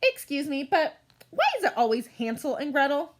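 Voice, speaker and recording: A woman's voice: sits high, animated, normal speed, voice pushed up. A woman voicing a confident, stubbornly optimistic little girl in a storybook, assertive, matter-of-fact and ready to argue. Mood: argumentative.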